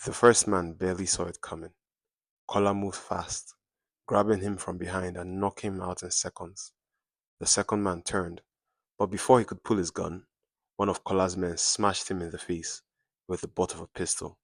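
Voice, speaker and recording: A man's voice: low voice, little pitch movement, moderate pace, dry recording. A man narrating a scripted dramatic story in suspenseful, short clipped phrases.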